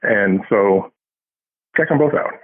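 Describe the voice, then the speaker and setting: A man's voice: lowish voice, slow, no echo. A man chatting casually as an interview guest over a tinny, phone-like connection. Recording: tinny narrow phone-like line.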